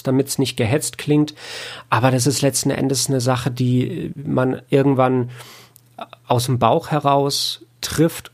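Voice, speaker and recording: A man's voice: medium pitch, vocal fry. A man answering casually in an interview, explaining his work in a reflective way. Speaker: audiobook editor and producer, interview guest.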